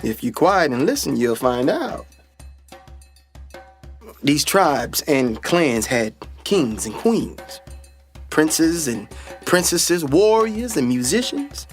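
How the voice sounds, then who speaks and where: A man's voice: fairly high pitch, very animated, takes it slowly, pauses often, exaggerated voice. A man playing a confident, dreamy older brother and aspiring pilot in a radio play, with an earnest, folksy storytelling manner. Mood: reverent storytelling. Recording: music behind a dry voice. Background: music.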